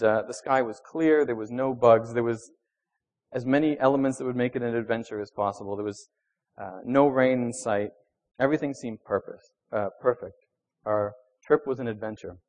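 A man's voice: fairly low pitch, darkish tone, lo-fi sound. Young male pastor raised in Venezuela, conversational sermon delivery, casual fillers. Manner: conversational preaching with fillers, reads scripture with rising emphasis.

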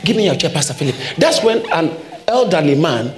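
Male pastor, high animated preaching voice, repeats lines for punch, reverberant church sound. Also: a little fast. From Ghana. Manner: emphatic preaching with repeated phrases. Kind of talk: sermon.

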